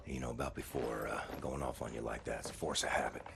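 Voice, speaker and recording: An actor playing a gruff, battle-scarred American soldier in a video game cutscene, terse and deadpan, with a gravelly voice. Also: male voice, fast, very noisy, heavily acted. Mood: terse, stern. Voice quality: gravelly, low.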